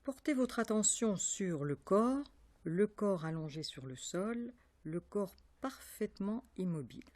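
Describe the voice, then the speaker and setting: A woman leading a guided yoga nidra relaxation as an instructor, calm and measured, giving instructions in short, separate phrases. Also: medium pitch.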